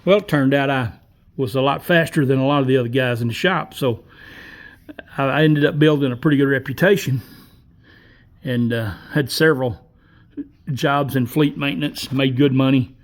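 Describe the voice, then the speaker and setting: A man in his sixties talks through a long personal story in a loose, folksy ramble. He pauses often to gather his thoughts and keeps a mid-range voice. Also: mid-range voice. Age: 60s. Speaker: vlogger. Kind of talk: casual storytelling monologue.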